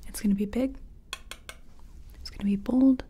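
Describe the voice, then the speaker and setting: A woman playing a nervous makeup artist in an ASMR roleplay, speaking in a breathy whisper and murmuring hesitantly to herself as she works. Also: medium pitch, steady tone, normal speed, dark tone, slight background noise, lightly acted. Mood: focused, unsure. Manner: murmured, hesitant self-commentary while working. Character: nervous female makeup artist competing in a contest. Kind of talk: soft-spoken ASMR personal attention roleplay.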